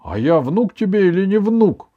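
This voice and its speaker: A man's voice: high-pitched, sing-song, moderate pace, narrow, tinny audio, voice pushed up. A man voicing a stubborn, cheeky but affectionate young grandson in a children's story, arguing in an emphatic, defiant way.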